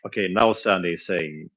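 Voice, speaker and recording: A man's voice: sits low, a bit bright. A man chatting casually on a live stream, hesitant and full of filler sounds, often chuckling between thoughts. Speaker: live streamer reviewing flea market finds. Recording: dull lo-fi sound.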